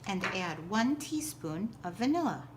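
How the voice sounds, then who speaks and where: Slow, instructional woman over noisy ambience. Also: medium pitch, big pitch swings. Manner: instructional, simple clear directions. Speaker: school teacher presenting a recipe. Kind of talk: step-by-step cooking demonstration.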